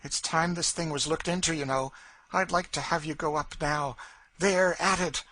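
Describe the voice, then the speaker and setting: A man voicing a cold, mocking woman who taunts her cruel master, in a slow, sneering, eerie way. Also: on the high side, steady tone, pushed and bright, no echo, voice pushed up. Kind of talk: audiobook character voice.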